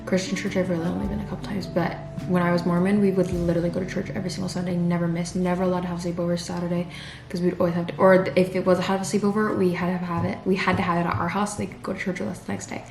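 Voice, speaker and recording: A woman's voice: on the low side, flat delivery, rapid-fire, vocal fry, darkish tone. A young woman from California chatting casually and rambling, with many fillers and restarts, while getting ready at home. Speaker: vlogger. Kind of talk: casual chat. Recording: roomy sound with background music.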